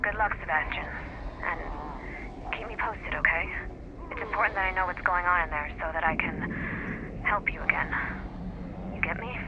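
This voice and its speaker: A pressing handler over radio. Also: female voice, fairly low pitch, normal speed, pauses often. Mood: insistent. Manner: pressing, probing. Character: guarded female handler on the radio. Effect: radio transmission. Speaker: remote contact. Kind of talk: video game voice acting. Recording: muffled, hissy radio line.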